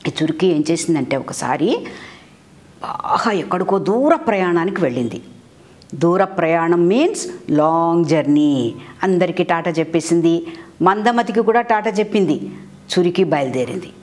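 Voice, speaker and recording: An Indian woman narrates a children's story in a slightly low voice with very lively intonation. She speaks like a teacher, putting questions to the children and repeating phrases. English words and short explanations are mixed in as she goes. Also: moderate pace, long pauses. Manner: mixes in English words and glosses.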